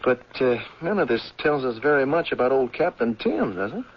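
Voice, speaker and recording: A man's voice: mid-range voice, sing-song, moderate pace, slightly rough. An American actor playing a wry, skeptical anthropologist in a 1970s radio drama, questioning and teasing his professor colleague. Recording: dull, lo-fi vintage radio recording.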